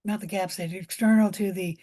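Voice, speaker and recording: A woman with a hoarse voice giving an informal biology lecture, explaining step by step with pauses and repetition. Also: medium pitch, fairly even intonation, dark tone.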